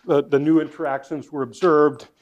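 A man with a somewhat high voice lectures on physics in a hall. He works things out aloud, stumbling over words and filling gaps with "uh." His volume rises and falls as he turns between the board and the room. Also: steady tone, normal speed. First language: not Dutch. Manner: thinking aloud with restarts and fillers, varying loudness.

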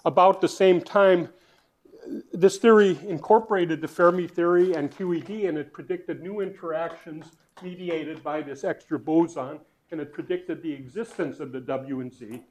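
A man giving a university physics lecture, thinking aloud as he writes, with fillers, restarts and swings in loudness. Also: fairly high pitch, measured pace, highs cut off. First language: not Dutch.

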